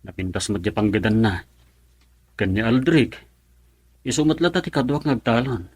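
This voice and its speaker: A man's voice: medium pitch, moderate pace, a little mellow, breathy edge, close to an everyday voice. Man to play modest rural husband, Ilocano accent, radio drama. Deferential, sincere, mid-range voice. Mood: apologetic, respectful. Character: humble middle-aged rice farmer, husband and father, respectful.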